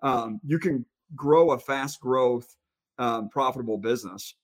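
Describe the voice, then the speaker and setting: A man's voice: mid-range voice, unhurried. A man hosting a podcast, laying out his views in a thoughtful, conversational way. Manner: thoughtful, lays out opinions at length. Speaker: podcast host. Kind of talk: conversational interview.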